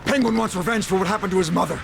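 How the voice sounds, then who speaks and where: Blustering, high-pitched corrupt mayor. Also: male voice, rapid-fire, gravelly, noisy background. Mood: bitter, justifying. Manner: bullying, indignant, easily rattled. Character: corrupt, panicky middle-aged male city mayor, blustering and self-serving. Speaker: politician character. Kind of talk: dramatic video game acting.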